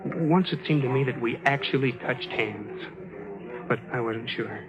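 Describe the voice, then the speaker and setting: Muffled old radio drama, actor as intense obsessed widower guy, insistent, earnest, mid pitch. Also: measured pace, close to an everyday voice. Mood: yearning. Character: intense, obsessed widower convinced his lost love lives. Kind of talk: 1950s radio drama acting. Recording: dull 1950s radio recording.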